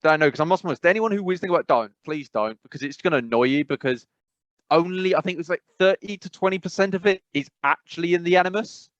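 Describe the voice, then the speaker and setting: A man's voice: a little high, quick pace, a bit bright, no echo. Man, podcast co-host, rambling, self-correcting, dry muffled recording. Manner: rambling, self-correcting, frequent "I must be honest". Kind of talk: casual chat.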